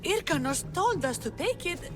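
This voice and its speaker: An actress playing a desperate, starving townswoman in a video game, pleading emotionally and haltingly. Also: very high-pitched, very animated, over music. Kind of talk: video game dramatic acting.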